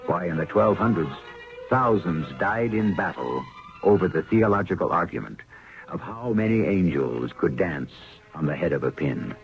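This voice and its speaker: A man with a gravelly voice, solemnly narrating a scripted radio drama in a theatrical storytelling way. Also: low-pitched, big pitch swings, normal speed. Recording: muffled old radio recording, music behind.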